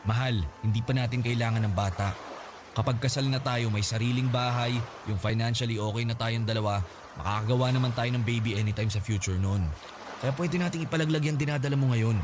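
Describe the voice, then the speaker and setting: A man's voice: mid-range voice, fairly quick, lightly acted. A man acting an evasive, selfish young boyfriend, defensive and insistent, in a Tagalog radio drama. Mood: coaxing, insistent. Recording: clearly noisy background ambience.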